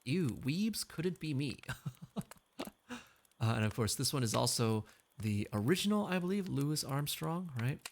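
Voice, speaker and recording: A male streamer with a gravelly edge to his voice, chatting in a relaxed, joking way between songs. His pitch swings widely as he teases his chat and reads comments aloud. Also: a little slow, slight background noise. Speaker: VTuber streamer.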